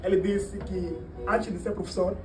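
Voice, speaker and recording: A man's voice: high voice, little pitch movement, fairly quick. A man with an Angolan accent, a native Portuguese speaker, hosting a casual interview in a roomy, noisy recording away from the mic. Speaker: host, interviewer. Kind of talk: casual video interview, addressing viewers. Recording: echoey room, distant mic, clearly noisy.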